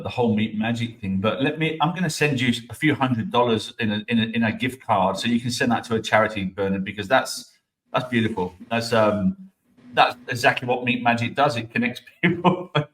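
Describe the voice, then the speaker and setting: A man's voice: medium pitch, a bit bright, poor audio. A middle-aged man hosting a casual interview, talking in a rambling, enthusiastic way with stammered restarts. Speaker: host.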